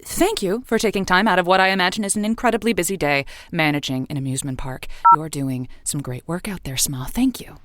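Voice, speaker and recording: A woman's voice: lowish voice, fairly quick, few pauses, fairly bright tone, slight background noise, natural voice. A woman playing an earnest, sympathetic radio call-in host in a comedy, speaking with some hesitation. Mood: polite, earnest. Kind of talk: improvised comedy radio show acting.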